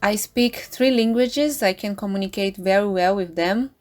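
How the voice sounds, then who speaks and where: A woman's voice: medium pitch, moderate pace. A woman in her thirties from Brazil, whose first language is Portuguese, answers interview questions carefully. She searches for her words at times. Faint music sits under her voice. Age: 30s.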